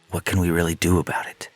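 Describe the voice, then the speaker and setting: A man playing a flirtatious, lovestruck male scientist in a story, low-key and teasing, with a deep husky voice.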